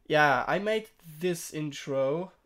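A young man who is a bit sick, walking through a software tutorial in a rambling, casual way with many fillers and restarts. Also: on the high side, lively intonation, slow pace. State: sick, throat-clearing voice. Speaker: tutorial streamer. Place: home room.

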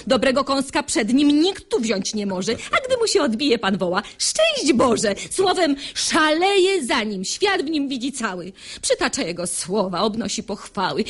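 An actress playing an outspoken, mocking young maidservant in a classic verse comedy radio play, with emphatic delivery. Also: female voice, sits high, exaggerated voice.

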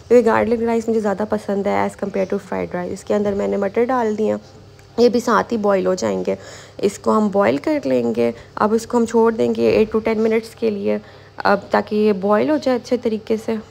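A woman's voice: mid-range voice, vocal fry, darkish tone. A woman giving a casual step-by-step recipe voice-over as a home cooking host, informal and repetitive, mixing in English kitchen words.

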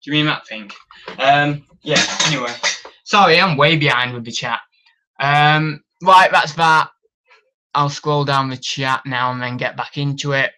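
Young guy rambling on a live stream, bright voice, lots of ums, small room camera. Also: a little high, unhurried, rough recording. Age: young adult. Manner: rambling, full of ums and restarts. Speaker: reseller vlogger reading live chat. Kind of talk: casual live stream chat. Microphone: camera on tripod.

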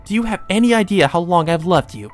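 A man's voice: high-pitched, expressive, faint music behind, voice pushed up. A man voicing a deranged, lovesick teenage sister from a visual novel game, with theatrical, mocking, coaxing delivery. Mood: bitter, lovesick. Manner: taunting, theatrical, drawn-out coaxing.

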